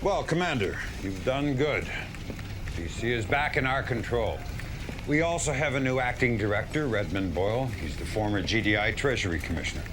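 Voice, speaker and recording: An actor playing a blunt, embattled general, gruff and commanding, in a video game military briefing. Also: male voice, mid-range voice, lively intonation, normal speed, background noise, close to an everyday voice. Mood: weary, frank. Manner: gruff, plain-spoken, commanding.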